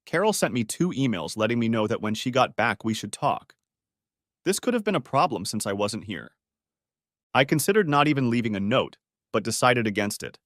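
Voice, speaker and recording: A man reads a scripted first-person story. His pitch sits in the middle range and moves in a lively way. The sound is close and dry, with no room around it. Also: a little fast, no room sound.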